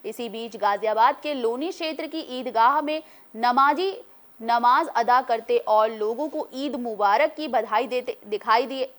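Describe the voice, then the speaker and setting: A woman news anchor reading scripted bulletin narration in a formal manner. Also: a little high.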